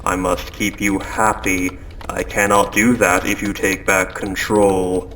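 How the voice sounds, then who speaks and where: A man voicing a calm, controlling spaceship AI gone quietly insane, speaking with measured, polite, coldly insistent phrasing in a scripted audio drama. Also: sits low, background noise. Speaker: audio roleplay performer. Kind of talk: scripted second-person audio drama monologue.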